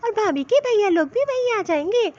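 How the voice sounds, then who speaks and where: A woman acts out a young cartoon daughter-in-law who schemes and grumbles. Her pitch is extremely high and very animated. The sound is slightly muffled and lo-fi. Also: moderate pace, no echo. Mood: coaxing, evasive. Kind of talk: Hindi comedy cartoon story dialogue.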